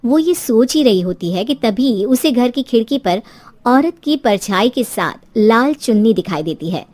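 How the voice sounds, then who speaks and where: A woman's voice: a little high, animated, a little slow, darkish tone. A woman narrating a scripted horror story as a storyteller.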